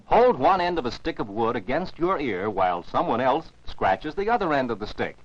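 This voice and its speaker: A man's voice: medium pitch, very animated, moderate pace. An American man narrates scripted science for children as an educational film narrator, in a didactic, carefully enunciated way. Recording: dull, dry 1949 film soundtrack.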